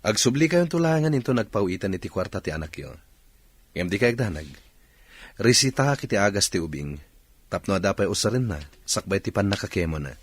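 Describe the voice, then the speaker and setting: Man acting a low, husky, compassionate doctor. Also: big pitch swings, fairly quick. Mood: concerned, questioning. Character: compassionate young doctor, troubled by his mother's coldness. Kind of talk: Ilocano radio drama acting.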